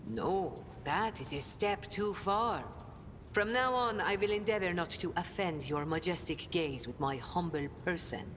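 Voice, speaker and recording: Sly theatrical smuggler in echoing hall. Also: female voice, on the low side, animated, measured pace, a bit bright, slight background noise. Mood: bitterly sarcastic. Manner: theatrical, mocking, flowery delivery. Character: female smuggler rogue, sly, theatrical, sardonic liar. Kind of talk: video game voice acting.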